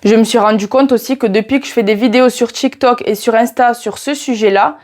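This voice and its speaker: A woman's voice: a little high, fairly quick, a little mellow. Chatty young southern French woman. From France. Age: 20s. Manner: chatty, addresses viewer directly with "tu vois". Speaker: YouTuber teaching Portuguese. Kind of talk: casual vlog talking to camera.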